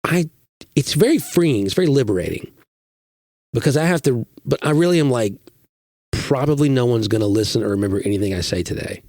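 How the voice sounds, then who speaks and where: A man's voice: mid-range voice, lively intonation, fairly quick, a little mellow, no room sound, studio quality. A man who is a pastor, recovering from a recent illness, chatting casually on a podcast and telling stories with self-deprecating humor.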